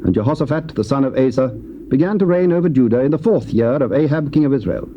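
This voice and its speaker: A man narrating scripture in a measured, stately manner. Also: mid-range voice, animated. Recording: dull tape with hiss.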